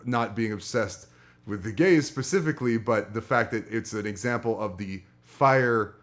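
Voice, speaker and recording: A man's voice: lowish voice, normal speed. A man co-hosting a casual talk show in a slangy, laid-back, wry way. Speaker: podcast co-host. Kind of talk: casual banter.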